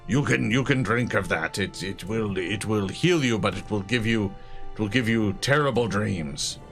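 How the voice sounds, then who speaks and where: A man voicing an odd, cautious male cave creature in a tabletop game, who gives halting, repetitive warnings in simple speech. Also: fairly low pitch, soft background music, close to an everyday voice. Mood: anxious warning.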